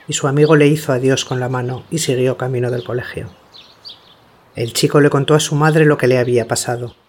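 A man narrating a scripted radio story in a measured, sober manner. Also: medium pitch.

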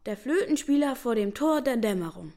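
A woman's voice: on the high side, expressive, a little fast, mellow. A woman playing a dreamy, cheeky young boy in a German radio play.

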